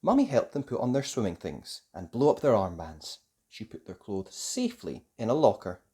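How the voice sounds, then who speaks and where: A children's story reader giving expressive scripted narration in a deliberate, sing-song way aimed at young children. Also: medium pitch.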